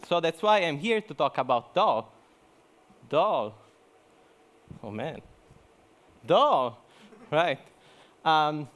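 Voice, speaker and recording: A man's voice: fairly high pitch, a bit bright. A young man from Italy gives a joking, chatty conference talk, full of fillers and restarts. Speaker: conference speaker. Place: conference hall.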